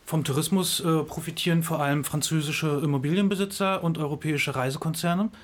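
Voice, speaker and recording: A man's voice: on the high side, steady tone, fairly quick. A man answering interview questions, explaining thoughtfully with frequent hesitations and fillers. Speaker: interviewed expert on tourism.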